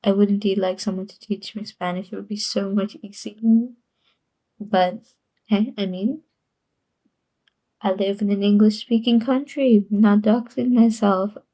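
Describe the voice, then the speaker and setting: A woman's voice: medium pitch, steady tone, unhurried, warm tone, low-quality recording. A woman streamer chatting casually on a livestream, rambling and giggling often between sentences.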